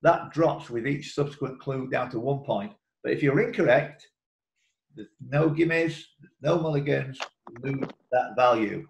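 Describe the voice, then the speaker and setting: A man's voice: medium pitch, measured pace, vocal fry, a little hiss or hum. Male quizmaster, mid-range voice, reading questions over an online call with noticeable room echo. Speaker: quiz host and question reader. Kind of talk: live quiz hosting, reading questions aloud. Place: indoor room, online video group call. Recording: roomy, echoing online call audio.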